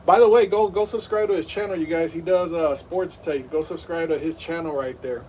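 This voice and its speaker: A man's voice: fairly high pitch, fairly quick, darkish tone, distant mic, slight background noise. A man in his thirties hosting a live game stream, rambling with lots of fillers and hyped play-by-play. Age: 30s.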